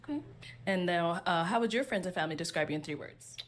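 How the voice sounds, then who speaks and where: A woman with a fairly low voice hosts a dating show. She prompts contestants with short, orderly questions and moves the program along. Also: expressive, quick pace.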